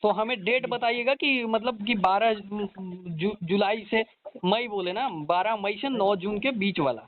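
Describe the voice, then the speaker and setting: High-voiced male interviewer on a tinny phone line. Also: a little slow. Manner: probing, repeats answers back. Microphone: phone call. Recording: thin narrowband phone call recording.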